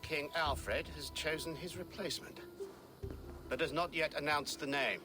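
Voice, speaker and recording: Give the game a scheming abbot played by a man, pitched a little high and oozing smug courtesy. He speaks in polished, unctuous phrases as an ambitious churchman would. Game music plays clearly behind him. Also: fairly even intonation, unhurried, natural voice. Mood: scheming, insistent. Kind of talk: video game drama acting.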